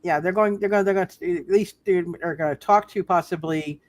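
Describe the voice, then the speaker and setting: A man running a live tabletop roleplay as game master, explaining casually in a halting way with repeated phrases. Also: high-pitched.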